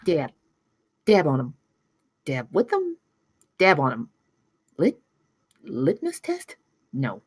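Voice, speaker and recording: A woman's voice: low-pitched, wildly swooping pitch, slow pace, pauses often, husky, no echo, clean studio sound, full character voice. A woman reading the part of a stubborn, pretentious young campus security officer at a script table read. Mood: affected.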